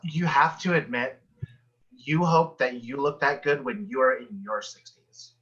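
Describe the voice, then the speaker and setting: Man playing a bright, sassy, flirty office co-worker. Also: medium pitch, unhurried, close to an everyday voice. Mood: teasing, flirty. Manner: sassy, teasing, suggestive. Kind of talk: sitcom table read. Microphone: video call. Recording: dull video call audio.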